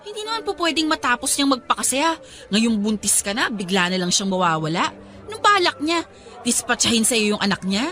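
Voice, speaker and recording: A woman's voice: a little high, very animated, fairly quick, sharp tone. An actress playing a loyal, morally firm young woman best friend in a Tagalog radio drama, emphatic and pleading. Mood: indignant.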